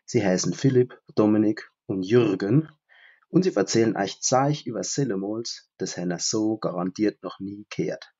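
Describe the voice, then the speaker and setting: A man's voice: fairly low pitch, animated, normal speed, dark tone, highs cut off. A man reading a podcast intro in a Palatinate accent with rolled R sounds. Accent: Palatinate German. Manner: rolled R, recited delivery.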